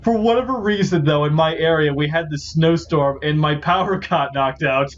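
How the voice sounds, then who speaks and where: A man's voice: on the high side, normal speed. Chatty man with a dull lo-fi mic. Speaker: host of a gaming series. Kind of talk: casual gameplay commentary. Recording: dull lo-fi mic, faint music behind.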